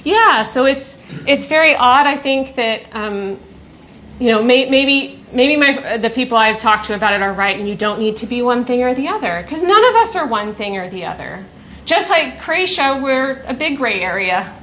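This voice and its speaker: A woman originally from Texas hosts a relaxed conversation, thinking out loud and laughing now and then. Her voice is bright and a little high. A faint hiss of background noise sits under the recording. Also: normal speed. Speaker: host. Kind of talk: casual chat.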